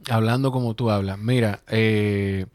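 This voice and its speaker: A man's voice: fairly low pitch, fairly even intonation, measured pace, darkish tone, no echo. A man hosting an interview, chatting casually and giving short, supportive replies.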